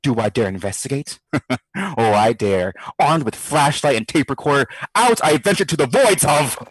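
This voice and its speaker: An actor playing a brash, sardonic skeptic who talks in slangy, theatrical quips in an audio drama diary. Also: male voice, medium pitch, expressive, normal speed, husky, dry recording, rough recording. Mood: bored, sardonic. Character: brash wisecracking skeptical man, boozy ghost-study participant.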